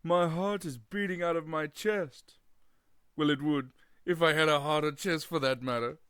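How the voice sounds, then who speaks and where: An actor playing a jolly living-skeleton pirate with a theatrical, jokey manner in an audio drama. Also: male voice, fairly high pitch, moderate pace, a bit bright, clear, resonant tone, no room sound, clean studio sound, natural voice. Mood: jolly, excited.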